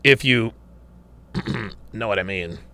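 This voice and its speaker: A man's voice: on the low side, slow, heavy background noise. A man hosting a casual livestream, reading viewer comments aloud, laughing often and sounding wry, a little strained as he loses his voice. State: losing his voice. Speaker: livestream host reading super chats.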